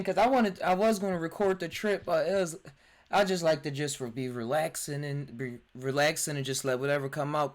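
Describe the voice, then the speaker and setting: A man in his twenties hosting a podcast, telling his stories excitedly, laughing often and restarting his sentences. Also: a little high. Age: 20s. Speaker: podcast host. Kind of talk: casual chat. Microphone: remote voice chat.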